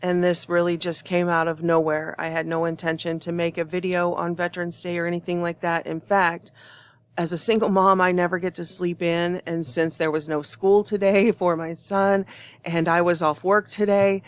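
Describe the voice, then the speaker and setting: A woman with a hoarse, rather low voice talks to the camera in a steady, flat line that barely rises or falls. She speaks with earnest conviction and no script, giving a prophetic warning straight to her viewers. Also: normal speed. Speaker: online prophecy channel host. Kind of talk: prophetic video monologue.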